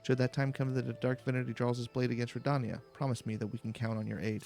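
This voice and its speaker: A man reading video game dialogue aloud in a calm, slow, deliberate way for a let's play. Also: medium pitch, vocal fry. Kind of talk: calm, slow-paced read-aloud narration. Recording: faint background music. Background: faint game music.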